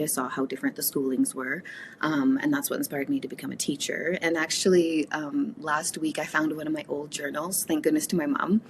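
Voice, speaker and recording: A woman from Canada whose first language is Cree, speaking reflectively as an interview guest, with frequent pauses and restarts. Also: sits low, slight background noise. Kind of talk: casual conversational interview.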